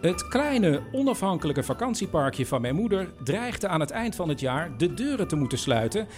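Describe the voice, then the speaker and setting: A fairly high-voiced man with animated intonation narrates a radio play over music. He presents it as a first-person storyteller to his listeners. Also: normal speed.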